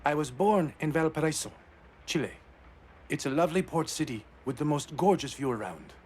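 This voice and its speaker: A man's voice: a little high, lively intonation, brisk, darkish tone, a little hiss or hum, lightly acted. A man voicing a composed, courteous and self-assured public figure in a video game. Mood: proud, assured. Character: composed, courteous male public figure proud of his homeland. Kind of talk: video game dialogue acting.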